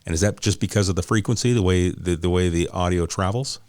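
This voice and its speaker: A man's voice: deep voice, fast, darkish tone, dry recording, clean studio sound. A man hosting a conversational interview, often rephrasing as he frames his questions and points. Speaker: interviewer, host; law enforcement officer.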